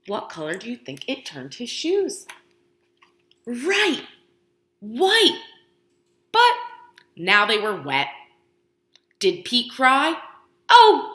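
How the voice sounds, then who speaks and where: A woman preschool teacher reading aloud to young children, encouraging them with questions and pauses, using exaggerated, expressive emphasis. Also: high-pitched. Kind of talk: animated children's read-aloud and lesson. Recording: roomy indoor echo.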